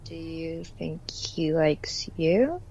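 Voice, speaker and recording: A woman's voice: deep voice, animated, drawn-out words, gravelly, a little mellow, slightly rough, muffled recording, full character voice. A woman playing a supportive but uneasy teenage girl, hesitant and slangy, in an amateur re-enactment of a film scene. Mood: curious, supportive. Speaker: podcast guest.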